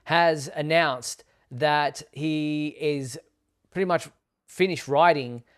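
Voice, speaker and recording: A man hosting a casual fan news discussion, explaining in a measured way with some hesitant phrasing. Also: fairly high pitch, fairly bright tone, clear, resonant tone. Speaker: host of a Superman fan live show.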